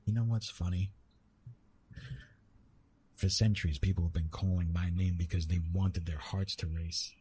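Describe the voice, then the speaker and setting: A man playing a retired immortal Greek god of love in an intimate ASMR roleplay, speaking to the listener in a soothing, gently teasing, conversational way. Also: low voice, fairly even intonation, normal speed, long pauses, slight background noise, close to an everyday voice.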